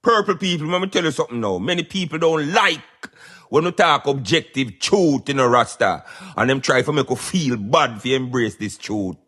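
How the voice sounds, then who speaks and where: A man's voice: mid-range voice, expressive, moderate pace, a bit bright. A Jamaican man hosting a talk radio show, giving emphatic, preaching commentary in Patois.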